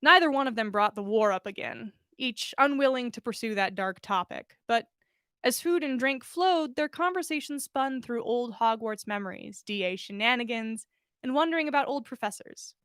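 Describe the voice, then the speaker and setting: A woman's voice: fairly high pitch, expressive, moderate pace, fairly bright tone, clean tone, dry recording, studio quality. A woman narrating a story expressively, as a podcast host reading fan fiction aloud.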